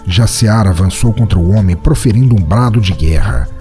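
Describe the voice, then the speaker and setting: Deep, dark-voiced male narrator. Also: lively intonation, fairly quick. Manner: dramatic, suspenseful storytelling. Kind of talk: scripted audiobook narration. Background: soft background music.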